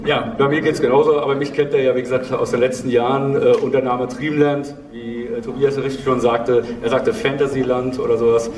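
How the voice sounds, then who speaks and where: Male moderator, forties, medium pitch, roomy live sound with clear noise. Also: level tone, fairly quick, few pauses, darkish tone. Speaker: panel host, audio drama label maker. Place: on stage in a dark event hall. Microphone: handheld microphone, heard through room. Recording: echoey hall, distant, clear background noise.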